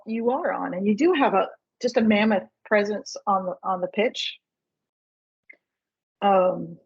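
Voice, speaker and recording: A woman's voice: a little high, slightly rough, highs cut off. A woman podcast host asking questions in a casual chat, eager and rambling like a fan.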